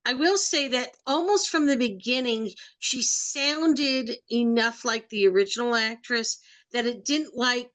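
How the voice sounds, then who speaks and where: A woman's voice: a little high, measured pace, a bit bright. Woman chatting on a podcast. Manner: chatty, uses fillers. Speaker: podcast co-host. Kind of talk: casual chat.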